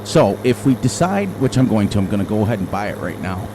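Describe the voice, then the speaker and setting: A middle-aged man with a loud voice, rambling and thinking aloud as he comments casually on his gameplay into a desk microphone. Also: on the low side, very animated, fast. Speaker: gaming channel host. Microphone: desk microphone turned down low. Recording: game engine noise behind.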